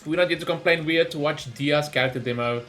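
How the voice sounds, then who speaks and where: A man giving casual, excitable and rambling reaction commentary as host of a gaming video. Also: a little high, fast talker, soft background music.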